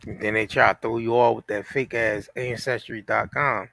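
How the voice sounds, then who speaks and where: Bright-voiced American man with a gravelly edge. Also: medium pitch, fairly even intonation, normal speed, dry recording. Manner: emphatic, repetitive, slangy and profane. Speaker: talk show co-host. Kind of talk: casual opinionated chat.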